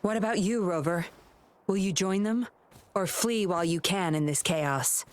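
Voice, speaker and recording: An actress playing a cool, teasing woman agent with a secretive, measured manner in a video game. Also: fairly low pitch, natural voice. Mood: probing.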